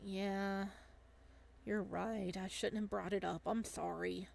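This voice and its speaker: A woman's voice: mid-range voice, steady tone, slow pace, creaky, a little mellow, voice pushed down, exaggerated voice. Woman doing a casual, teasing young guy. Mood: apologetic. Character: casual, teasing young male best friend, loyal. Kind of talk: visual novel dialogue reading.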